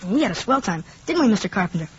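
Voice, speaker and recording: A performer, a woman, playing an eager, chatty, excitable young boy in a 1950s radio drama. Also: mid-range voice, rapid-fire, a little hiss or hum, natural voice. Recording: dull, muffled 1950s radio recording.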